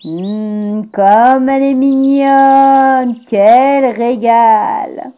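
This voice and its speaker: The Big Bad Wolf, slow and sly, voiced by a woman. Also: high voice, fairly even intonation, lo-fi sound. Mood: greedy. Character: wicked, greedy male wolf. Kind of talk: storybook character voice.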